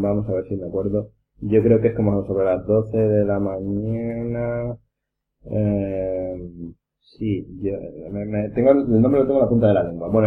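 A man's voice: on the low side, a little hiss or hum. A man with an accent from Spain chatting casually with friends on a podcast, explaining things and searching for words. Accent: Spanish from Spain. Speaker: podcast panelist. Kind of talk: casual chat among friends. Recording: muffled, phone-like narrow sound.

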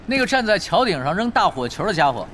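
An actor playing an easygoing, sly expert gamer for hire in a Chinese audio drama, with a laid-back manner. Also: male voice, high voice, very animated, fast, fairly bright tone, a little hiss or hum. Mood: breezy.

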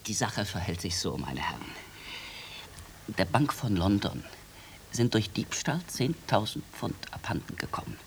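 Animated, secretive young manservant. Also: male voice, on the low side, a little slow, creaky, a little hiss or hum, heavily acted. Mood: confiding. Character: secretive, excitable young manservant of an English gentleman. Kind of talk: German radio play acting.

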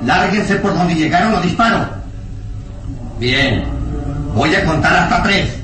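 A man's voice: high voice, lively intonation, a little slow. An actor playing a burly, hostile peasant man in an old radio serial, blustering threats. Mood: angry, threatening. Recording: roomy, noisy old radio drama recording.

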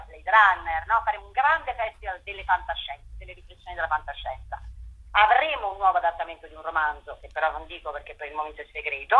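A woman's voice: mid-range voice, narrow, tinny audio. A woman theatre curator in a radio interview, talking in a rambling way, repeating words and using many fillers.

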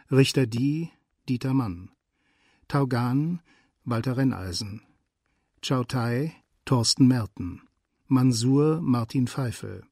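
A man's voice: mid-range voice, mellow, dry recording, clean studio sound. A man announcing the title and cast of a radio drama in a scripted style.

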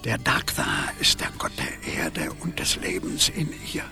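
An actress playing a solemn, mysterious guide in a radio play, speaking in a portentous, breathy half-whisper. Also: female voice, very deep voice, sing-song, measured pace, heavily acted. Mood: solemn, ominous. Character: mysterious, solemn wise guide warning young heroes. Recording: music under the voice.

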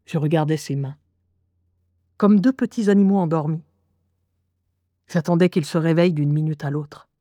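A woman's voice: deep voice, moderate pace, long pauses, dark tone, dry recording. An actress playing a wry young woman who hears voices, reading her diary in a radio drama with ironic, confiding asides. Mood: wry reminiscing. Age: young adult. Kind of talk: radio drama first-person monologue.

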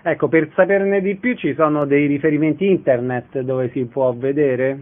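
Guy hosting radio arts interview, slightly high voice, phone-like sound. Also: fairly even intonation, moderate pace.

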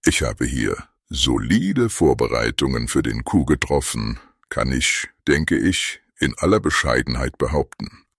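A man acts out a smug burglar from a trashy comedy radio play. His voice is extremely low and husky, swinging around in pompous, polite self-satisfaction, in a dry recording. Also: sing-song, unhurried, few pauses, no echo, heavily acted. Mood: smug, conspiratorial. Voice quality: gravelly, very deep. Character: smug, scheming male burglar plotting on the telephone.

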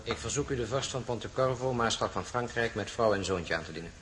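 Low-voiced, dignified marshal played by a man. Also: lightly acted. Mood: formal. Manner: formal, measured, restrained. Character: dignified marshal of France, steadfast and courteous. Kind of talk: radio drama acting. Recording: echoey room with faint noise.

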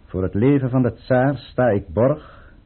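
Radio play count: authoritative schemer, rough husky tone, muffled vintage recording. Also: male voice, mid-range voice. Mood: solemn, resolute. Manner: formal, deliberate, authoritative. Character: commanding, coolly calculating count, a conspirator against the tsar. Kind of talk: radio drama acting.

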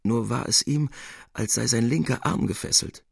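A man narrating a literary tale expressively, with measured, theatrical emphasis. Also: mid-range voice, creaky, slightly rough, no echo, studio quality.

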